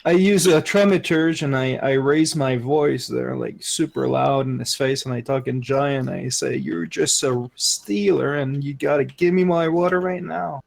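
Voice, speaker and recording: A man's voice: medium pitch, measured pace, creaky, hardly any pauses. A man playing a character in a tabletop game, eager, stumbling over his words. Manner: eager, stammers and repeats himself. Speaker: player. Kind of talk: casual in-character roleplay.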